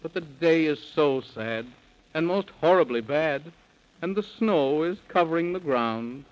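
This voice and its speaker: Animated radio storyteller, a man. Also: fairly high pitch, a little slow. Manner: theatrical, sing-song rhyming delivery. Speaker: narrator and storyteller. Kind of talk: 1930s radio bedtime storytelling in rhyme. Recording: muffled 1930s radio recording.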